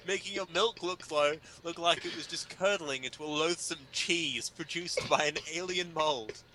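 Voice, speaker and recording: A man performs a comic article in a bright, forceful voice with very lively intonation. His delivery is emphatic and sardonic, pitched as mock indignation. There is faint noise in the recording. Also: poor audio. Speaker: reader performing a humor article. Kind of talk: dramatic comedic reading of an article.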